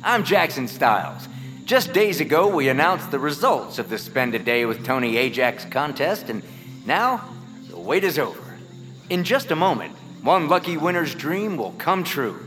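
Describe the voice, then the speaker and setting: A man's voice: a little high, big pitch swings, moderate pace, fairly bright tone, faint music behind. An actor playing a hearty, grandiose small-town announcer with theatrical showman patter in an audio drama. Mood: showy. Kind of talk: stage announcing in audio fiction.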